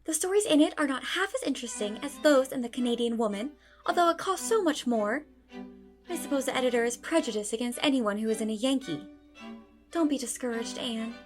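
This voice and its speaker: An actress playing an excitable young woman who cheers on her friend and takes her side hotly in a dramatized audiobook. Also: high-pitched, brisk, faint music behind. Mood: indignant. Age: young adult. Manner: excitable, indignant on a friend's behalf. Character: eager young woman, loyal admiring friend.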